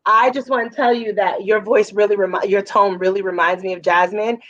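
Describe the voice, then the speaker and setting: A woman with a raspy voice giving casual, effusive feedback as a judge at a music showcase. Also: medium pitch, steady tone, a little fast, a bit bright, no room sound, lo-fi sound. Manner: effusive, chatty, full of fillers.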